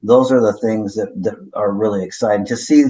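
A middle-aged man, a pastor, answering questions in a reflective, low-key way, often checking in with "right?". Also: steady tone, brisk, dark tone. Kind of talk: conversational interview.

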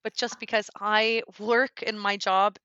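A woman from Connecticut, a guest in a casual conversation, telling stories in an animated way with laughter. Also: medium pitch, unhurried, dry recording, dull audio. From Connecticut, United States. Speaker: interview guest.

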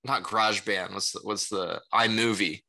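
Interview guest: young man, Mandarin native speaker, sharp cutting tone, lowish register, loose conversational delivery peppered with tag questions. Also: steady tone, normal speed, gravelly. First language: Mandarin Chinese. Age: young adult. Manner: rambling, frequent "you know" and "right?" tags. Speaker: interview guest, salesperson and rapper. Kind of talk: casual conversational interview answers.

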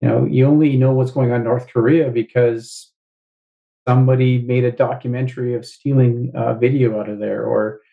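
A man talking as an interview guest, measured and thoughtful, with frequent fillers. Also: medium pitch, level tone, creaky, phone-quality sound. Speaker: guest author. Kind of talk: casual interview talk.

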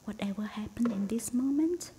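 A woman meditation teacher guiding a session in a hushed, breathy, near-whispered voice, in short phrases with gentle pauses. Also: expressive. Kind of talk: guided meditation. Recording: faint rumbly background noise.

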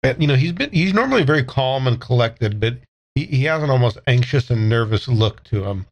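A man's voice: mid-range voice, expressive, fairly quick, dull audio. A man talking casually as a player in a tabletop role-playing game, describing and speaking for his character. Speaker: player in an actual play podcast.

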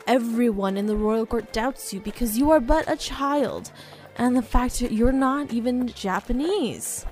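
A woman's voice: on the high side, measured pace, darkish tone, soft background music, lightly acted. A woman voicing a cheerful female companion who explains things in a teasing, upbeat way.

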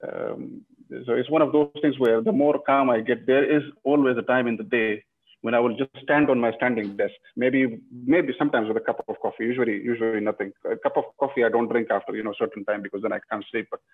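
A man from Pakistan muses as an interview guest, circling his points with restarts and "you know"s. He talks quickly at a middling pitch, and the sound comes through a thin, phone-like line. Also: steady tone, husky. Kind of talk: casual interview chat.

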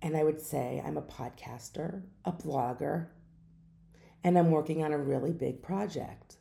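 A middle-aged woman hosting her own podcast, speaking in an unhurried, musing way with some hesitations. She has a naturally deep voice that is hoarse from a lingering cough. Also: mellow. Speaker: podcast host. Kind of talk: reflective solo podcast talk.

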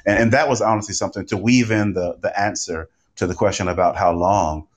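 A man on a podcast panel, speaking conversationally with deliberate, emphatic phrasing. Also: fairly low pitch, steady tone. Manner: emphatic, deliberate phrasing with repeated words for stress.

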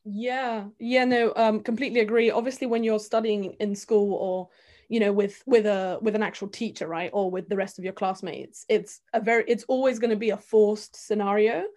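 Young woman, casual podcast chat, mid pitch, hesitant and reflective with many ums. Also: steady tone, normal speed, a little mellow. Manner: thoughtful, lots of fillers and restarts.

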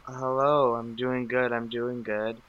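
Slow-talking teenage guy. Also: mid-range voice, level tone, a bit bright, clean tone, muffled recording. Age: teens. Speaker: podcast guest. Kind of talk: casual group chat.

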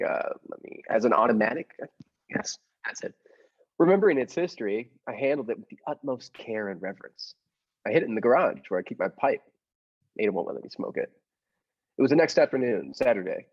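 An actor playing a timid, henpecked little husband in an old-time radio comedy, stammering and fussily polite. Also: male voice, fairly low pitch, expressive, brisk, breathy edge. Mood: confiding. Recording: dull lo-fi audio with music behind.

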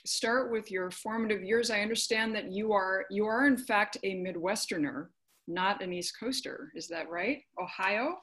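A woman's voice: mid-range voice, normal speed, fairly bright tone. A woman hosting an interview, encouraging her guest, affirming often and laughing easily. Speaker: interview host. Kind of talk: conversational interview.

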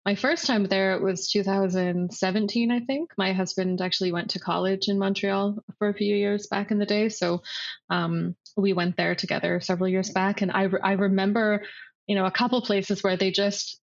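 A woman's voice: medium pitch, steady tone, moderate pace, creaky. Woman, emphatic, chatty, dull sound. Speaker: guest. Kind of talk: casual interview talk.

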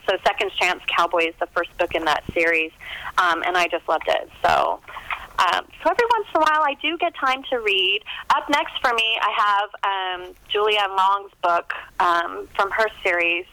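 A woman's voice: mid-range voice, sharp tone, no room sound, poor audio. A woman talking casually as a guest author in an interview, chatty and enthusiastic. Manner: chatty, enthusiastic about books.

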